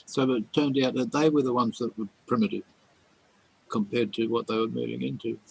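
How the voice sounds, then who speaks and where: A man's voice: medium pitch, steady tone, fairly quick, vocal fry, slightly rough, rough recording. A man speaking bluntly and critically in a casual group conversation. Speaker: group participant. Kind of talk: casual chat.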